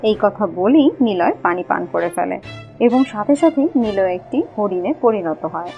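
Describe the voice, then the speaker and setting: A woman's voice: medium pitch, animated, moderate pace, gravelly, muffled recording, faint music behind. A woman narrating a scripted fairy-tale cartoon as a storyteller.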